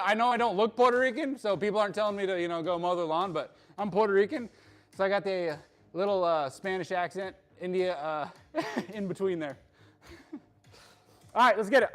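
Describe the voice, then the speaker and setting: A man's voice: sits high, a bit bright. A man hosting a live workout stream, chatting with viewers between lifts in a joking, hyped-up coaching way. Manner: hyped, joking, bro-style coaching talk.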